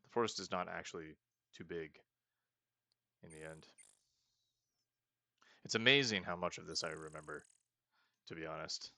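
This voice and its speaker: Deep gravelly man narrating his gameplay. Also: steady tone, normal speed, long pauses, bright, forceful tone, no room sound. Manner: thinking aloud, chatty and self-mocking. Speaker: let's-play host. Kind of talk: casual gameplay commentary.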